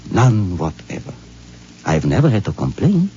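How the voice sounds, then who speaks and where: An actor playing a smooth, knowing puppet maker who speaks in a suave, sing-song way in a 1950s radio drama. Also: male voice, lowish voice, huge pitch swings, normal speed, mellow, slight background noise. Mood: sly. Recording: dull old radio broadcast recording.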